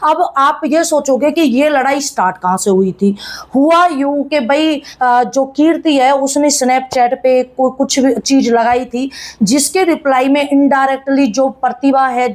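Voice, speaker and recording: A woman's voice: sits high, a little mellow. A woman, giving casual, blunt, chiding gossip commentary to viewers in colloquial speech. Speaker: YouTube commentator.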